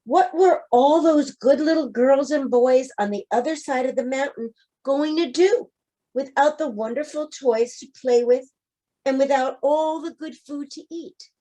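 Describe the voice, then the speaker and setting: A woman's voice: sits high, unhurried, dark tone, poor audio. A woman reading a children's picture book aloud, expressively, speaking directly to young listeners. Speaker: storybook reader.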